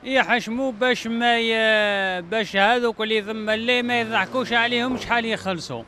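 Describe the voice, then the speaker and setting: A man's voice: very high voice, fairly even intonation, a little fast, bright, forceful tone. A middle-aged man with an Algerian accent, giving short casual answers in a street interview. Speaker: passer-by being interviewed. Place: outdoors on a busy city street. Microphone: handheld microphone. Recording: faint street noise.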